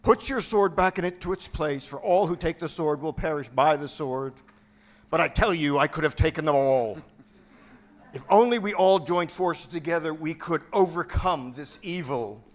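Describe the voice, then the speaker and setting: A man's voice: on the high side, moderate pace, natural voice. A man playing a cynical, hot-headed militant disciple in a dramatic reading for a church play, argumentative and sardonic. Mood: indignant, defiant.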